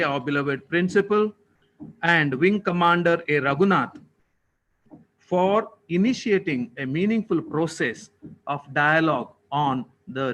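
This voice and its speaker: A man with an Indian accent giving a formal, prepared thank-you speech as a college official, with deliberate, ceremonious and courteous phrasing. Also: on the high side, fairly bright tone, rough recording.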